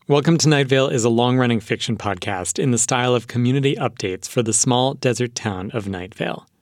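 Guy hosting a podcast, scripted intros and staged back-and-forth, regular mid pitch. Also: dry recording, studio quality. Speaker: podcast host. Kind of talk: scripted narration with staged banter.

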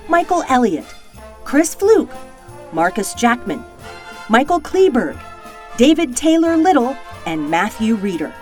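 A woman announcer reading scripted programme credits and sponsor messages. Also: a little high.

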